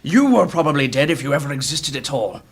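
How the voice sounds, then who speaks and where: A man playing an emotional old adventurer haunted by a friend's death, speaking gravely and haltingly in a fantasy audio drama. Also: fairly high pitch, very animated, exaggerated voice. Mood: earnest, pained.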